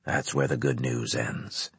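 A man voicing a grim male centurion in an audiobook, terse, grave and deliberate as a commander. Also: rumbling low, steady tone, creaky, husky, no echo, voice pushed down, full character voice. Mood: grave, matter-of-fact. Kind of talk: audiobook character dialogue.

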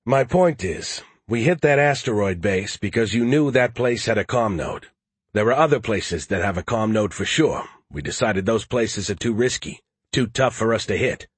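A man's voice: lowish voice, lively intonation, moderate pace, slightly rough, no echo. A man voicing a wry, plain-spoken American soldier with casual, defensive dry banter, in an audiobook. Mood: casual, insistent.

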